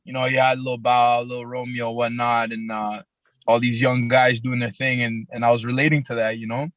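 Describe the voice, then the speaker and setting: A raspy young man with a Toronto accent, a rapper guest talking in a laid-back, halting way with many fillers. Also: mid-range voice, little pitch movement, a bit bright, dry recording. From Canada, grew up in Toronto. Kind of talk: casual podcast interview.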